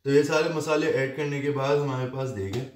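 Young male cooking host, casual mixed-language voice-over, relaxed home-kitchen demo. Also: mid-range voice, a little fast. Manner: mixes Hindi-Urdu with English phrases, relaxed and chatty. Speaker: home cook hosting a cooking channel.

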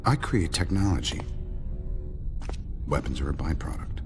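An actor playing a cocky, sardonic billionaire inventor superhero with a flippant, dry delivery in a video game. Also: male voice, extremely low pitch, expressive, normal speed, big-room sound, faint music behind. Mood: flippant, defiant.

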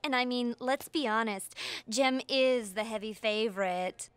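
Woman doing catty cartoon cheerleader girl, high smooth voice, smug. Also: a little slow, no room sound, natural voice. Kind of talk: animated series dialogue.

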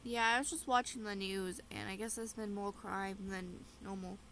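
A woman's voice: medium pitch, fairly even intonation, moderate pace, a bit bright, a little hiss or hum, rough recording. A woman host talking casually in a game roleplay, chatty and rambling with plenty of ums. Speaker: channel host voicing her own roleplay character.

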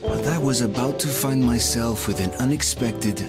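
A man's voice: medium pitch, moderate pace, lightly acted. A man acting as a young male survivor, narrating his journey in a somber, reflective way. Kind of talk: scripted narration. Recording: music under the voice.

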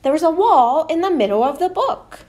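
A woman voicing a small boy knight in a children's picture book read-aloud, with drawn-out, dramatic delivery. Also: sits high, big pitch swings, a little mellow, voice pushed up. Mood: smug, wary. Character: small boy knight, smug then alarmed, storybook.

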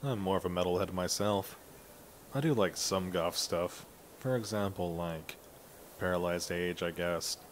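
A man's voice: deep voice, unhurried, a little hiss or hum. A man playing a shy, reserved young metalhead student, reluctant and understated, in an ASMR roleplay. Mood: casual.

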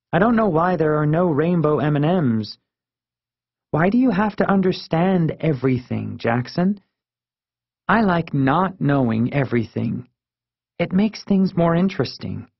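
A man's voice: on the high side, creaky, slightly rough, dry recording, muffled recording, pitched above the performer's own voice, heavily acted. A man voicing a frank, spirited young schoolgirl in an audiobook, speaking emphatically and earnestly.

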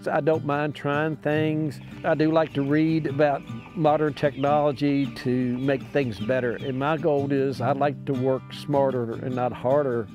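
An older man who runs a farm, interviewed outside, speaking plainly and haltingly with "uh" and "you know". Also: mid-range voice, little pitch movement, darkish tone, highs cut off, faint music behind. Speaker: farmer. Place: outdoors by a farm building.

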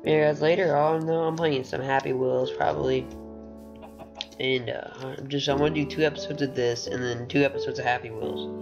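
A teenage boy with a recently deepened voice, rambling and chatty as he gives casual commentary over his own gameplay. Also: fairly even intonation, normal speed, muffled recording, over music. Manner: rambling, chatty, laughs often. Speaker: gaming channel host.

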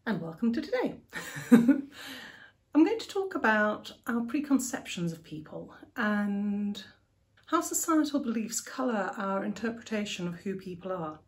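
A woman's voice: medium pitch, sing-song, unhurried. A woman in her forties with a posh English accent, hosting a reflective solo talk from her living room with frequent ums and light laughs. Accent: posh southern English. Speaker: podcast host. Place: living room at home, indoors.

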